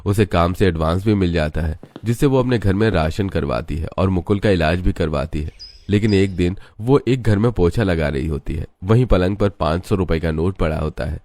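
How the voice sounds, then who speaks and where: A man with a very deep voice narrates a moral story. He reads from a script as the storyteller. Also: normal speed, a little mellow.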